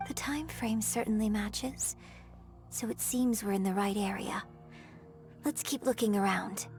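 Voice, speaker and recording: A woman's voice: medium pitch, unhurried, a little mellow, faint music behind, natural voice. A woman playing an earnest, reflective young woman in a video game, musing aloud as she works things out. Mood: curious. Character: thoughtful, earnest young opera performer piecing together clues.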